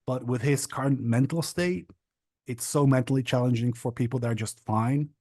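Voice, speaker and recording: A man's voice: mid-range voice, steady tone, normal speed, mellow, no room sound, clean studio sound. A young man from the Republic, a podcast guest telling his story in a casual, rambling way with lots of fillers. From Czech Republic. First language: Czech. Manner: rambling, restarts and stacks of fillers. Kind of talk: casual interview chat.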